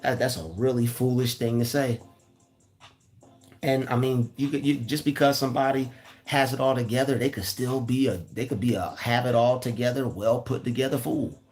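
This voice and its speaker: Raspy-voiced man, earnest pep talk, repeats himself, faint music. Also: medium pitch, steady tone, normal speed, darkish tone. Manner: repeats phrases, preachy and earnest. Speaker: motivational vlogger. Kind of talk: casual motivational talk.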